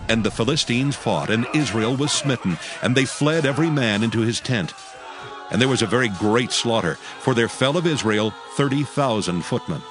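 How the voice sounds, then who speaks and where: A man narrating scripture from a script in a solemn, measured and formal way. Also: mid-range voice, animated. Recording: music bed behind the voice.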